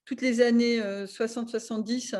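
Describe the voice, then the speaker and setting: A woman interviewing an author, supportive and quick to agree. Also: a little high, steady tone, darkish tone. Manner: supportive, frequent affirmations. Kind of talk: radio interview.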